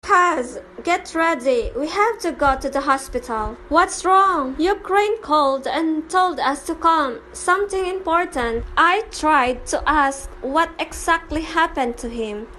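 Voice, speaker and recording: A woman's voice: high voice, unhurried, few pauses, bright, forceful tone, slight background noise, rough recording. A woman giving a scripted dramatic reading for a fan comic dub, voicing the dialogue and narration herself.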